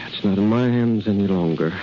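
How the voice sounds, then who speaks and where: Guy acting a grave old-radio doctor, low and slow, terse, muffled hissy recording. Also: lightly acted. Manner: solemn, terse. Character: grave, resigned male doctor. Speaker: actor in a radio play. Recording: muffled 1930s radio, clear crackling hiss.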